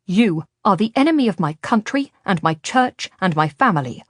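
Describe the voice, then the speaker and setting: A woman's voice: mid-range voice, animated, moderate pace, no echo, studio quality, lightly acted. A woman voicing an earnest, wary and curious young man with a direct manner, in an audiobook story.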